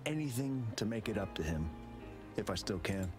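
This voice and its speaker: A man's voice: fairly low pitch, expressive, normal speed, over music, natural voice. An actor voicing an earnest, grieving male guard in a video game. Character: somber loyal male camp guard.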